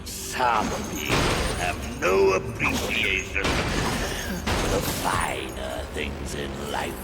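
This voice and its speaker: Casting: pompous greedy demon, game villain, very slow theatrical drawl, gravelly, loud music behind. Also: male voice, sits low, expressive, exaggerated voice. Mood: gloating menace. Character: Avarice, pompous greedy male demon hoarder. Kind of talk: video game villain acting.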